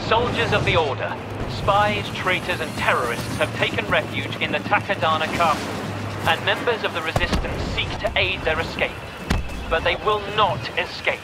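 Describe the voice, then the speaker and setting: A man acts out a stern, menacing game villain commander with a slightly high-set male voice. He declaims orders formally and with zeal, over loud dramatic music. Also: normal speed, lightly acted. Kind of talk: dramatic video game briefing.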